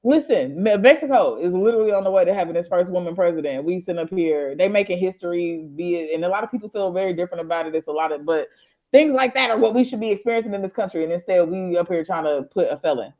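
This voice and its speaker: A man co-hosting a loose political chat. He talks in quick slangy bursts and climbs into loud, exasperated exclamations. His voice sits a little high for a man. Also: animated. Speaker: podcast co-host.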